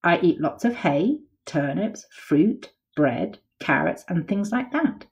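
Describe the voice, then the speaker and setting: A woman voicing a big, wise male elephant in a children's storybook, speaking in a slow, measured, rumbling way. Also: animated, a little mellow. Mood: calm. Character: huge wise male elephant, calm, proud.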